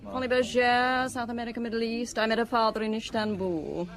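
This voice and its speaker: An actress playing a callous middle-aged former dancer and gambling addict in a radio drama, breezy and distracted amid a casino crowd. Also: female voice, fairly high pitch, fairly even intonation, fairly quick, close to an everyday voice. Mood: distracted, indifferent. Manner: breezy, distracted, chuckling offhandedly. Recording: busy crowd, casino scene. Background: casino crowd chatter.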